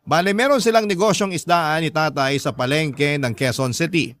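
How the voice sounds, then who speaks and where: Man narrating as a high-voiced, plaintive youngest son. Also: normal speed, a bit bright, dry recording, lightly acted. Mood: earnest, wistful. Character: aggrieved youngest son recounting his hard life. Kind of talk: first-person radio drama narration of a letter.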